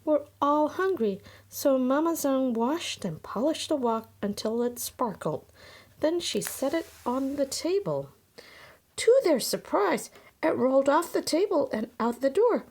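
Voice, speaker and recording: A woman's voice: high-pitched, expressive, measured pace, darkish tone. A woman reading a children's picture book aloud as scripted narration. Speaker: storybook reader.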